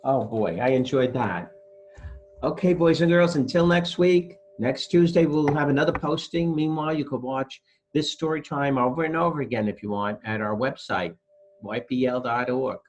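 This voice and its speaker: A man's voice: medium pitch, normal speed, a little hiss or hum. A man hosting a children's library storytime, reading a picture book expressively and speaking directly to young listeners. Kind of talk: animated children's picture-book read-aloud.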